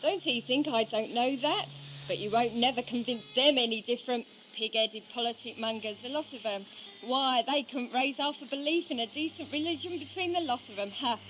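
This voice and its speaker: A woman playing a brash, bawdy, sharp-tongued wench in a historical audio drama, with an English rustic dialect. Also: fairly high pitch, moderate pace, soft background music, lightly acted, poor audio. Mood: wry.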